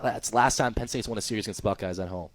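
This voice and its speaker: A man's voice: lowish voice, very fast, no room sound. A young American man giving live color commentary on a baseball radio broadcast.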